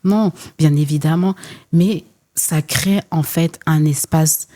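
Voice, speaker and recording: A woman's voice: sits low, slow, warm tone. A woman from France, speaking earnestly and emphatically as a guest in a conversational interview, often repeating phrases to build a point. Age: 40s. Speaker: podcast guest, entrepreneur and former social worker.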